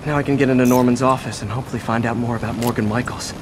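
A man's voice: medium pitch, fairly even intonation, rapid-fire, noisy background, close to an everyday voice. An actor playing a quippy, earnest young male superhero who talks to himself in wry asides.

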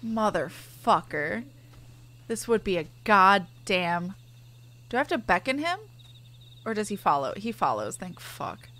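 A woman game streamer giving casual, excitable live commentary and swearing freely. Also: mid-range voice, measured pace, long pauses, a little hiss or hum.

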